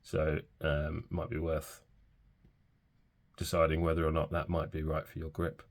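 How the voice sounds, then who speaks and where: Deep, gravelly-voiced man with a cold. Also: measured pace. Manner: relaxed, hesitant, many fillers. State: stuffy from a cold. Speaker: pen reviewer. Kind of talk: casual product review.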